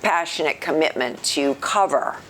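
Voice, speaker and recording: Older lady from new hampshire, low voice, earnest and thoughtful, lots of ums, bit of hiss behind. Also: moderate pace, a bit bright. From New Hampshire, United States. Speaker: interview guest. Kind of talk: conversational interview answers.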